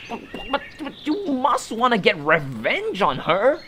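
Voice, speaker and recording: A man's voice: very high voice, wildly swooping pitch, unhurried, pushed and bright, heavily acted. A man playing a grumbling, resentful monster henchman in an audio drama, exasperated as he talks. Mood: confused, protesting. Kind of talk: scripted audio drama acting. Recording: echoey reverberant voice, background birdsong noise. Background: birdsong, forest ambience.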